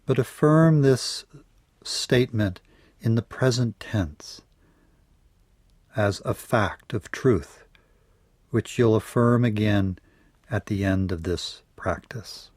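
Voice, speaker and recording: A man with a fairly low voice guides a meditation at a very slow pace. His delivery is soothing and measured, with phrase endings that trail off softly. The sound is dry and close. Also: no room sound. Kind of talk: guided yoga nidra meditation.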